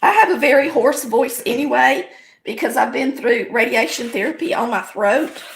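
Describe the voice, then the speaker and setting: A middle-aged woman with a raspy, hoarse voice and a Southern US accent, chatting folksily as she demonstrates a recipe step by step in her home kitchen. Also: a little high, moderate pace, poor audio. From American South. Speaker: home cooking show host. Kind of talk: casual cooking demonstration.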